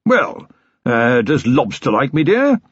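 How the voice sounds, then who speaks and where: Man playing an elderly colonel, hearty, rambling, loud, dry dull recording. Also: mid-range voice, big pitch swings, slow pace, husky, no room sound, voice pushed up, heavily acted. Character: bluff elderly male retired cavalry colonel.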